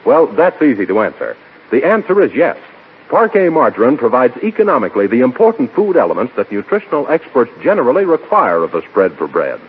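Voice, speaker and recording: A man's voice: mid-range voice, huge pitch swings, a little fast, slightly rough. A man with an American accent reading a commercial as a 1940s radio announcer. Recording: tinny 1940s radio broadcast.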